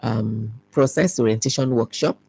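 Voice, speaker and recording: Measured official, halting and careful. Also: medium pitch, creaky, warm tone, a little hiss or hum. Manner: measured, many ums and restarts. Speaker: education official being interviewed. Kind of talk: radio-TV interview answers.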